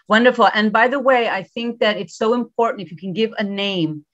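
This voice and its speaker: A woman's voice: medium pitch, normal speed, a bit bright. A woman moderating a panel who speaks fluently and encouragingly to the speakers. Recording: dull lo-fi sound.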